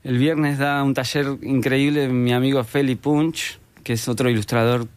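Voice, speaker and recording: A young man with an Argentine accent talks loosely and with humour about his work as a painter and illustrator. His voice is smooth and clear, sitting in an ordinary middle pitch. He wanders a little as he talks and often makes fun of himself. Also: normal speed. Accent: Argentine Spanish. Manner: relaxed, rambling, self-deprecating. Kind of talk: casual interview chat.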